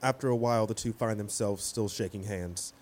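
A man's voice: on the low side, fairly quick, darkish tone. A man reading scripted stage directions as the narrator of an audio play.

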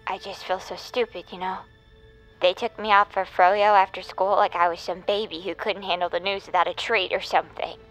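A woman's voice: medium pitch, little pitch movement, brisk, fairly bright tone, soft background music, lightly acted, low-quality recording. A woman playing a hurt, apologetic teenage girl in an audio drama, speaking hesitantly in a subdued, natural way. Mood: hurt, downcast.

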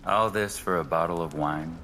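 An actor playing a blunt, wry antiquities appraiser in an audio drama, plain-spoken and defiant. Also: male voice, low-pitched, normal speed, sharp tone, in a large hall, slight background noise.

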